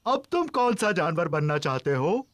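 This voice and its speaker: A performer voicing a jolly, gentle old grandfather pig in a Hindi children's cartoon dub, coaxing kindly. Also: mid-range voice, sing-song, normal speed, lightly acted.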